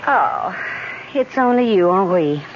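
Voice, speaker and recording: Playful, teasing young heiress, lilting delivery. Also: female voice, medium pitch, lively intonation, slow pace. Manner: playful, flippant, mocking. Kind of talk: vintage radio drama acting. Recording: dull, noisy vintage radio recording.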